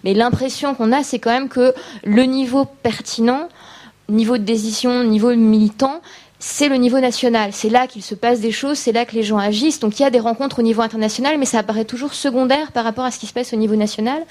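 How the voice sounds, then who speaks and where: A woman's voice: a little high, steady tone, darkish tone. A woman putting a long, carefully reformulated question to a speaker at an academic conference, with a few fillers along the way. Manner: measured, reformulating, some fillers. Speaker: conference attendee asking a question.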